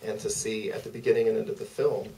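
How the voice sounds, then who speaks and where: A man's voice: on the low side, little pitch movement, quick pace, vocal fry, mellow. A man moderating a live theater Q&A, reflecting thoughtfully and hesitantly as he searches for his phrasing. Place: museum theater. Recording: distant, noisy auditorium pickup.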